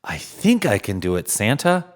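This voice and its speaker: A man's voice: fairly low pitch, sing-song, moderate pace. A man voicing a small female train engine, humble, earnest and determined, in a children's storybook reading. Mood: humble, hopeful. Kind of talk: children's storybook read-aloud.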